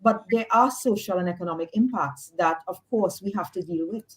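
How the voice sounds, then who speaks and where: A woman with a Trinidadian accent speaks as a radio guest at an ordinary middle pitch. She explains her work earnestly and patiently, circling back and restarting now and then. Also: fairly quick. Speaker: guest researcher. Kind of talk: radio interview.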